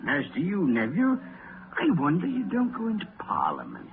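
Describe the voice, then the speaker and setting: Miserly old man, irascible, scornful, theatrical, very animated delivery; noisy antique radio sound. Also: a little high, normal speed. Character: grim, miserly old businessman, contemptuous of Christmas. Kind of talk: 1930s radio drama acting. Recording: scratchy, narrow-band 1930s radio broadcast.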